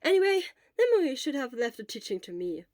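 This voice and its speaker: Woman acting as a young man, exuberant, teasing, high, loud, friendly audio-story character. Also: big pitch swings, brisk, no room sound, clean studio sound. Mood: chatty, upbeat.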